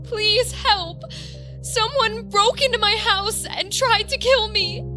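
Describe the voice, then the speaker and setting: A shocked, fearful housewife in a crime audio drama. Her voice is extremely high and slow, faltering as she goes. It is recorded dry with music underneath. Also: pushed and bright, exaggerated voice. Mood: panicked pleading. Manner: halting, faltering speech. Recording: very dry voice over music bed.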